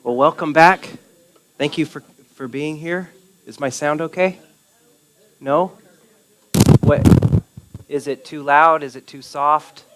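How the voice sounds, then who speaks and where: Soft-spoken man leading a church study group. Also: on the high side, takes it slowly, pauses often, background noise. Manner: gentle, asks questions and affirms answers. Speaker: Bible study leader. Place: church meeting room.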